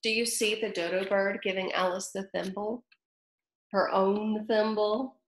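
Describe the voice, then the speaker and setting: A woman reading a children's story aloud with chatty asides to young listeners, in an animated way. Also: mid-range voice, unhurried. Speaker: storybook reader. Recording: roomy echo.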